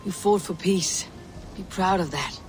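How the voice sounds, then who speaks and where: An actor voicing a Viking leader with a calm, earnest manner. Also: male voice, high voice, a little slow, soft background music. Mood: steady. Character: steady male Viking leader. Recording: game cutscene with loud rain noise.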